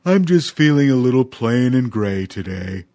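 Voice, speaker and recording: A man voicing a gentle, clumsy young male elephant who speaks slowly with a soft, sighing manner in a storybook reading. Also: medium pitch, very animated, dry recording, clean studio sound, voice pushed up, natural voice. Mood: glum.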